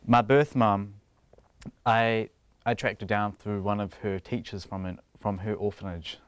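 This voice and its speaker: A man's voice: on the low side, fairly even intonation, unhurried, smooth, no echo. A young man with a New Zealand accent, chatting casually in a TV interview and laughing often between his thoughts.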